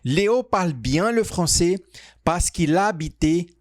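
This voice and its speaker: A man teaching French, reading quiz sentences and answer options aloud slowly and carefully. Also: sits high, animated, no room sound, studio quality. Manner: reads sentences slowly, stretched out, pauses on options. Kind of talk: slow, clearly spoken quiz reading.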